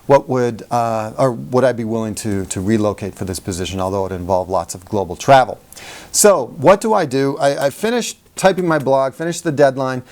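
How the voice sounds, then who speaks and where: Male, middle-aged, medium pitch. Coach-style presenter, conversational and emphatic, tells anecdotes at his own expense. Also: a little mellow. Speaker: sales communication coach hosting a how-to video. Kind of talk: conversational how-to talk with personal anecdotes.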